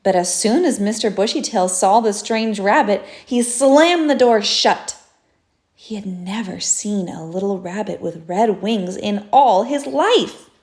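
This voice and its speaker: Slow, expressive woman reading a storybook. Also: mid-range voice. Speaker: storybook reader, teacher. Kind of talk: children's story read-aloud. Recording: roomy echo.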